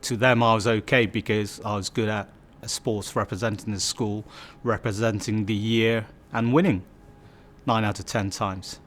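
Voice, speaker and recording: A man's voice: on the low side, steady tone, normal speed, a bit bright, a little hiss or hum. A man in his fifties, from Bangladesh, telling his life story in a reflective way, with many fillers and restarts. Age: 50s. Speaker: community storyteller. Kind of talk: personal life story interview.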